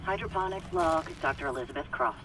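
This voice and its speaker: An actress playing a brisk, easily indignant hydroponics scientist recording an audio log in a video game. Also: female voice, deep voice, natural voice, poor audio. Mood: businesslike.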